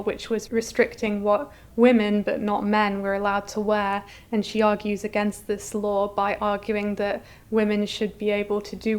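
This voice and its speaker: Even-toned scholarly woman explaining history. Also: mid-range voice. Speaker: exhibition curator being interviewed. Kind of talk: explanatory interview talk.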